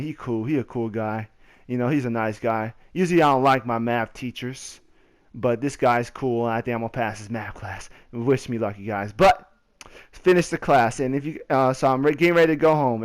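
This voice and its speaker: A young American man telling a casual story over gameplay in a slangy, conversational way, talking straight to his listeners. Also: medium pitch. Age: young adult. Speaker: gaming video storyteller.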